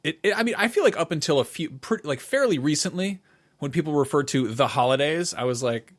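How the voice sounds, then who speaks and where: A man's voice: a little high, lively intonation, brisk. A middle-aged man co-hosting a podcast in casual conversation, informal and wry, with the odd mild swear. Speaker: podcast co-host.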